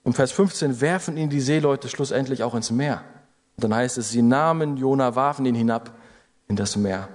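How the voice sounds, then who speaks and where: A man's voice: mid-range voice, normal speed, a little mellow, long reverb tail. A man preaching an earnest sermon to a congregation, building to urgent exhortations. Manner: earnest exhortation with rising emphasis.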